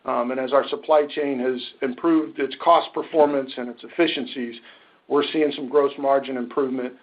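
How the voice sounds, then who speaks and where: A man answers questions as a finance executive on a conference call. His phrasing is measured and corporate, in a medium-pitched voice. The audio is slightly dull and muffled. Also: steady tone.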